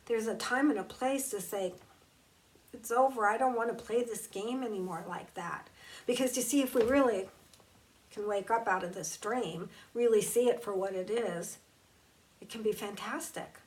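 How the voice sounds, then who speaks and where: A woman speaking as a spiritual teacher in a reflective, conversational talk, slowing down to draw out key words. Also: a little high, darkish tone, distant mic, low-quality recording. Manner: slow, emphatic and conversational, drawing out key words.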